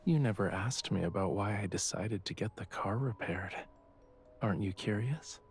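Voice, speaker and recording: An actor playing a self-assured yet quietly vulnerable young artist in a romance game, speaking softly and intimately with a teasing touch. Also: male voice, lowish voice, normal speed, faint music behind, close to an everyday voice. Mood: gentle, coaxing.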